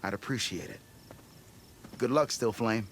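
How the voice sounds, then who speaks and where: An actor playing a blustering, scheming expedition leader in a video game, with grandiose storytelling. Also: male voice, fairly low pitch, measured pace, slight background noise, close to an everyday voice. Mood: cajoling. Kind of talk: video game cutscene acting.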